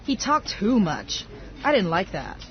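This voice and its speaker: A woman's voice: mid-range voice, a little slow. A woman acting out a friendly everyday chat in a scripted listening dialogue. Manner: conversational, expressive. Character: woman talking over her dating life. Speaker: dialogue actor. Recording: dull lo-fi audio.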